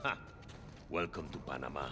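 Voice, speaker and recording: An actor playing a corrupt, smug prison boss with a Latin American Spanish accent in a video game. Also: male voice, very deep voice, normal speed, bright, forceful tone. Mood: gruff, commanding. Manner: sly, taunting. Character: corrupt, greedy Panamanian male prison boss, smug and menacing. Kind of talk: video game cutscene acting.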